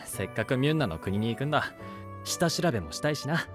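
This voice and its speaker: A male actor plays a dependable young knight in an anime audio drama. He talks casually and frankly like an older brother, with lively movement in a mid-pitched voice. Faint music plays behind. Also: a little fast, natural voice. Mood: easygoing. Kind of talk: Japanese anime-style voice drama. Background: background music.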